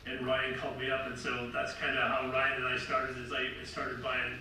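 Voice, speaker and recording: A middle-aged man with a loud, bright voice presents to a roomful of people, rambling and restarting his sentences. He is heard from far off in a very echoey hall, with faint noise behind him. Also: medium pitch, monotone, a little fast. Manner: rambling, self-correcting, light laughs. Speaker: lecture presenter. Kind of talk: casual public talk with slides. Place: large room with seated audience. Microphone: far camera.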